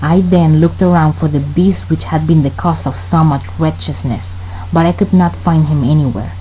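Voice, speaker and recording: A man playing a brooding murderer who narrates his crime in a radio play, formal and deliberate. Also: fairly high pitch, steady tone, mellow, natural voice, poor audio. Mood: brooding, calculating. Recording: faint hum and noise.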